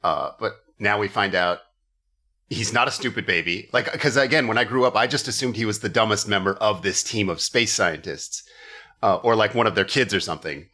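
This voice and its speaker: A man's voice: fairly low pitch, a little fast, bright, forceful tone. A man hosting a comedy podcast, chatting casually with wry, exasperated and profane riffing. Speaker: podcast host.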